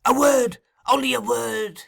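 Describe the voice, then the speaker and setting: A man voicing a cunning, raging old male crime boss in an audiobook, insistent and feverish, building to shouts. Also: extremely high pitch, moderate pace, vocal fry, breathy edge, pitched above the performer's own voice, full character voice. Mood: pleading. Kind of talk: audiobook character acting.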